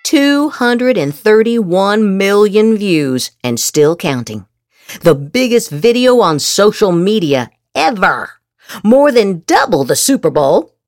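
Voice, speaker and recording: A woman's voice: mid-range voice, big pitch swings, slow pace, no room sound. A woman podcast host giving a theatrical, emphatic reading of social media posts. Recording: loud music bed.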